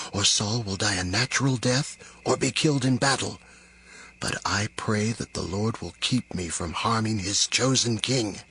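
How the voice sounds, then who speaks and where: An actor playing a bold, devout young warrior in an audio drama, with drawn-out, declamatory delivery. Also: male voice, lowish voice, sharp tone, a little hiss or hum. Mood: hushed, resolute.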